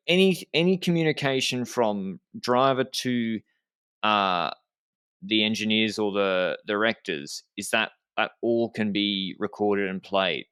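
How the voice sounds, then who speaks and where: A man's voice: lowish voice, a bit bright, clean tone, no room sound, studio quality. A man co-hosting a podcast in casual discussion, often thinking aloud and restarting his sentences. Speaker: podcast host.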